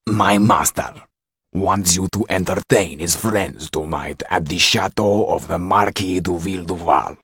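A man's voice: low voice, a little slow, a bit bright, breathy edge, no room sound, clean studio sound, full character voice. A man voicing a menacing, haughty steward in a curt, cold, commanding manner for a storybook.

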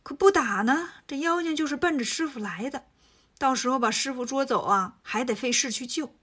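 A woman voicing a bold, impatient male monkey king who talks brisk and cocky. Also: high-pitched, a bit bright, breathy edge.

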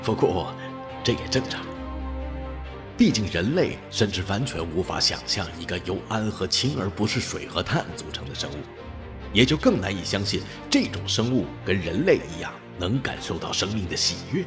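Male actor for reflective, pitying alien in radio drama, lowish voice with animated declamatory phrasing. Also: moderate pace, over music. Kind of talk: dramatic radio play acting.

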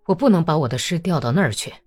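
A woman voicing a defiant male Cossack commander in an audiobook, terse and stubborn, in a low, muffled voice, with music behind. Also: sing-song, moderate pace, darkish tone. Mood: stubborn, weary. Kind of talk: audiobook character acting.

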